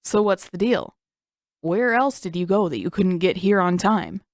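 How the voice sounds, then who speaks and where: A woman's voice: fairly low pitch, unhurried, no room sound. A woman puts on a cheeky teenage boy for an audio story. He is smug and nosy, with a teasing delivery, recorded dry and close. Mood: suspicious.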